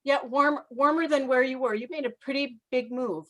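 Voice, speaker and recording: High-voiced woman interviewer chatting casually. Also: steady tone, moderate pace, a bit bright. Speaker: host interviewer.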